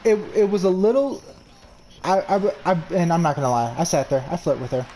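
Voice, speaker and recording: A crude, boastful young man from New York trades stories over voice chat, stumbling and restarting as he goes. His voice sits a little high and sounds soft and mellow. A faint noise floor hums behind him. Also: animated, a little fast, rough recording. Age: 20s. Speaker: gamer in voice chat. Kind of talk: casual chat.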